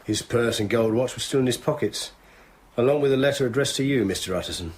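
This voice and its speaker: An actor playing a shrewd, sober police inspector in a radio drama, matter-of-fact and probing. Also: male voice, medium pitch, fast talker, close to an everyday voice. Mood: grave.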